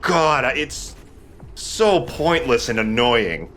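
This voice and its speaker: A man's voice: medium pitch, very animated, slow pace, a bit bright, soft background music. A man, a young adult, giving casual, joking gameplay commentary in a sardonic, grumbling, sweary way. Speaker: let's play host playing the game.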